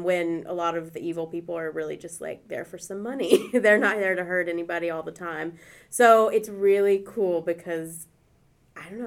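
A woman co-hosting a library podcast, chatting casually about a book she is reading, with fillers. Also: fairly low pitch. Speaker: podcast co-host.

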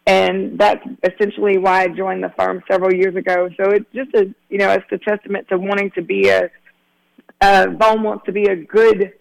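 A man's voice: sits high, level tone, normal speed, rough recording. A man, a guest attorney talking earnestly in a casual radio interview with many restarts. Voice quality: raspy.

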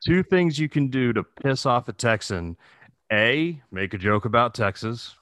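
Animated American man with swooping pitch. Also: measured pace, no room sound. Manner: animated, profane, opinionated ranting. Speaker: podcast co-host. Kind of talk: casual chat.

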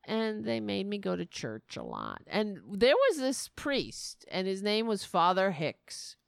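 A woman's voice: medium pitch, very animated, unhurried, gravelly, no echo, studio quality. A woman from Staten Island, telling a rambling, profane comic story in a casual monologue and laughing at her own jokes. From Staten Island, New York.

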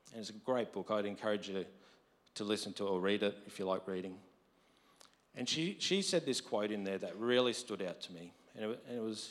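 A married man preaching a conversational sermon, his voice deep from lack of sleep, rising to emphatic quotes. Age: adult, married. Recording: long hall reverb.

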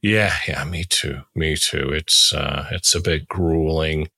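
A man hosting a casual conversational interview, speaking in a somewhat halting way and letting thoughts trail. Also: a bass voice, steady tone, bright, forceful tone, breathy edge, no echo, studio quality. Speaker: podcast host, interviewer.

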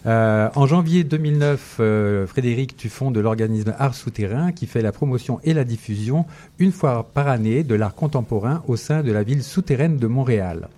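A man's voice: mid-range voice, lively intonation, normal speed, dark tone. A man presenting a guest on a radio culture show, enthusiastic and upbeat. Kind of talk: radio chat.

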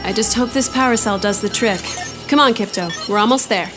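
A woman's voice: mid-range voice, brisk, over music, natural voice. A woman playing a tough female space smuggler in an audio drama, with a sardonic, casual manner. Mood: guarded, serious.

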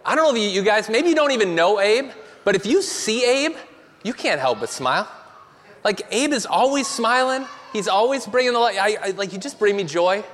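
A man's voice: high voice, animated, fairly quick, fairly bright tone. A man hosting a church service from the stage, upbeat and enthusiastic as he introduces a guest. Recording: long reverb of a large hall.

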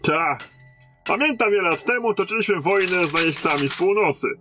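An actor playing a battle-hardened, blunt and commanding lieutenant in a fantasy audio drama. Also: male voice, high-pitched, lively intonation, a little fast, over music. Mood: gruffly reminiscing.

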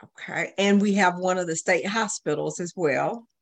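A woman's voice: fairly low pitch, moderate pace, gravelly. A woman hosting a radio interview, asking questions in a conversational way. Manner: conversational, asks questions with restarts.

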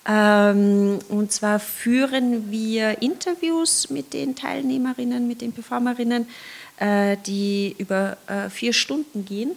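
Woman, theatre director, hesitant, filler sounds, slow pace. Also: a little high, fairly even intonation, creaky. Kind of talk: podcast interview guest.

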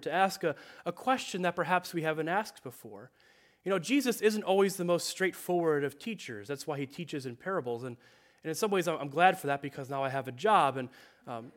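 A man in his thirties, a pastor, reading scripture and preaching in a conversational way with many fillers, his voice congested and a little nasal. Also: on the high side. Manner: conversational with frequent fillers and self-corrections.

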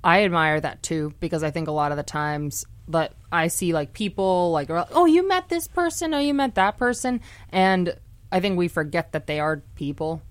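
Woman w a low voice, eager upbeat radio chat, dry sound. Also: expressive, normal speed, no echo.

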